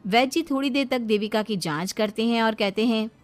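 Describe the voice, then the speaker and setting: A woman's voice: on the high side, fairly quick, soft background music. A woman giving scripted narration for a Hindi cartoon story.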